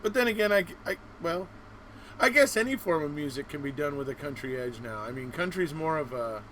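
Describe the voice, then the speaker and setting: A man hosting an informal live-stream interview in a halting, thinking-aloud way. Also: on the high side, lively intonation, fairly bright tone. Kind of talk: casual live chat. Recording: faint background noise.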